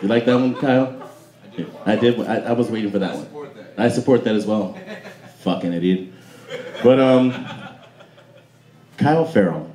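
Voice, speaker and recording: An American man doing a profane stand-up roast on a club stage, brash and quick to snap back at hecklers. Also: lowish voice. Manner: brash, animated, swearing, talking back to hecklers. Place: club stage before a live audience. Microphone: handheld stage mic through PA, filmed from the crowd. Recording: roomy club PA with audience noise.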